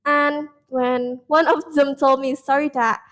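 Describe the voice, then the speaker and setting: A young woman with an Indonesian accent and a high voice talks with a halting delivery. She fills her answers with small pauses, fillers and light laughs as she recounts her time teaching abroad. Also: flat delivery, a bit bright. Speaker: exchange teacher guest. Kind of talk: casual interview answers.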